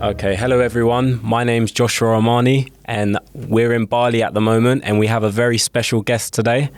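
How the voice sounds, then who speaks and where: A man's voice: mid-range voice, little pitch movement, brisk, faint music behind. A young man hosting an interview show, firing eager follow-up questions at his guest. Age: young adult. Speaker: podcast host.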